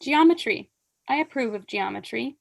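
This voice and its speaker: A woman's voice: fairly high pitch, animated, normal speed, vocal fry. A young woman acting a haughty, witty aristocratic mother in a staged reading, pompous, arch and declamatory. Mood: arch, imperious. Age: young adult. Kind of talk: staged reading of a period comedy.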